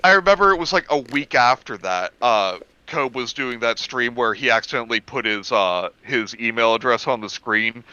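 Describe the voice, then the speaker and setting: A man with a bright, sharp-edged voice at an ordinary male pitch, heard through slightly muffled lo-fi audio. He explains things in casual, animated conversation. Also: normal speed, few pauses, clear, resonant tone.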